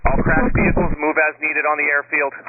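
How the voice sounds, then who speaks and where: A man's voice: a little high, completely flat delivery, brisk. A man working as an air traffic controller, giving firm emergency instructions over radio. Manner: firm, repetitive commands. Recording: noisy, tinny aviation radio.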